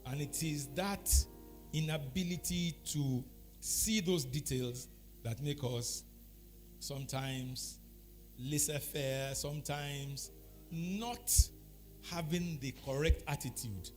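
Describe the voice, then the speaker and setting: A middle-aged or older man with a Nigerian accent gives an anecdotal talk, explaining deliberately in short phrases with pauses. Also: on the high side, faint music behind. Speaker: speaker giving a talk.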